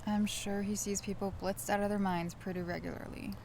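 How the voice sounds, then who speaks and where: A woman played by an actress of average pitch, dry and practical as a small-town local in an audio drama. Background noise is clearly present in the recording. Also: little pitch movement, fairly quick, darkish tone, close to an everyday voice. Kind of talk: naturalistic audio drama dialogue.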